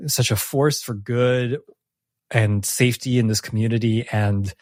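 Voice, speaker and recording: Male host, game-master type, mid-pitched, chatty and emphatic, very dry sound. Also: fairly even intonation, a little slow, a little mellow, no echo. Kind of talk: casual post-game discussion.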